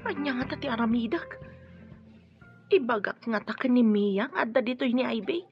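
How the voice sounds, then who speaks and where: A woman's voice: on the high side, normal speed, highs cut off, faint music behind, close to an everyday voice. A woman with an Ilocano accent acting a worried, troubled mother in a radio drama. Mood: anxious.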